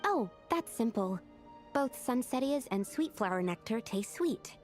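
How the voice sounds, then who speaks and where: A woman's voice: on the high side, expressive, unhurried, darkish tone. A woman playing an earnest, studious young female alchemist in a video game, explaining things carefully and analytically. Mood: pleased, explaining. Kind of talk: video game character dialogue. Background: game music.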